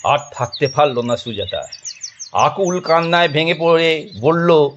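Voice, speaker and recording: A man narrating a scripted story dramatically, in a measured and expressive way. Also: a little high, lo-fi sound, slight background noise.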